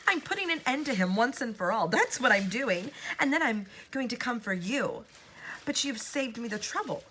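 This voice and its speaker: A woman voicing a vengeful old spirit woman who mocks and booms with hatred in an audiobook. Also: animated, moderate pace, a little hiss or hum. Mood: raging. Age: older. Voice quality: menacing, booming, mocking. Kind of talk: audiobook character voice.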